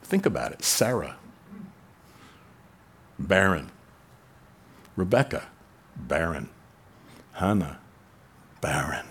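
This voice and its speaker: Man, pastor, husky low voice, conversational sermon, emphatic swells. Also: big pitch swings, slow, long pauses, a bit bright. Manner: conversational preaching, rises in pitch and volume for emphasis.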